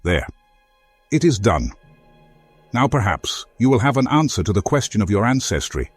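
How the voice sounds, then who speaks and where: A man playing a courteous, earnest former foe in a fantasy game, speaking formally and deliberately as he offers help. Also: lowish voice, sing-song, slightly rough, no echo, soft background music. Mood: intrigued, helpful. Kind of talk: video game character dialogue.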